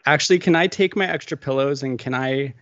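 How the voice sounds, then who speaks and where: A man prank calling with a deadpan, playing-dumb manner. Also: mid-range voice, a little fast, no room sound, muffled recording. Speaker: prank caller.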